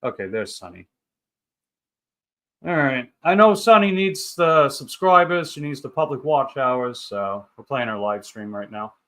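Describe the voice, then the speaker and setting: A man of thirty hosts a livestream in a mid-pitched, bright voice. He talks casually and rambles in upbeat banter, speaking straight to his viewers. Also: animated, measured pace. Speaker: livestream host. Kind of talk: casual livestream chat, reading and answering viewer comments.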